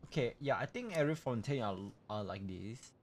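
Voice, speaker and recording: A man chatting casually as he hosts a game livestream, halting and repeating himself, with loose non-native English phrasing. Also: mid-range voice, expressive, a little mellow, slight background noise. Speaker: livestreamer hosting a game stream.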